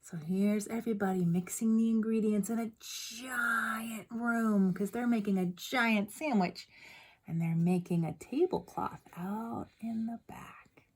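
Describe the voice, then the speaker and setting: A woman's voice: fairly high pitch, a little slow, breathy edge. A woman reading a picture book aloud like a teacher, expressively, with chatty asides and questions to young listeners.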